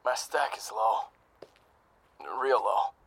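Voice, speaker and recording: An actor playing a failing but loyal cyborg soldier in an audio drama, with terse, weary, resigned delivery. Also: male voice, medium pitch, fairly even intonation, slow, creaky, fairly bright tone, full character voice.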